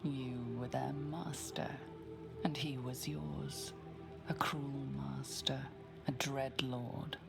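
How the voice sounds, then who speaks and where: An actress playing a grave, ominous storyteller, narrating a dark fantasy game in a measured, hushed, portentous way. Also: female voice, low voice, creaky, full character voice. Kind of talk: dramatic scripted game narration. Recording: music under the narration.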